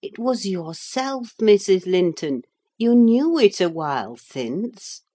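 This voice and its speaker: Woman voicing a firm, steady older servant. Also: mid-range voice, takes it slowly. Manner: firm and calming. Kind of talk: audiobook character voice.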